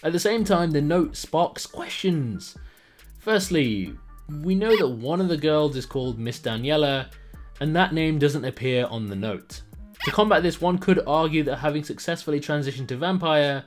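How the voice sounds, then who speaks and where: Guy with a slightly high voice doing gaming youtube narration, scripted and emphatic, music behind him. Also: lively intonation, normal speed. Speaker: YouTube gaming channel host. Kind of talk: scripted video essay narration.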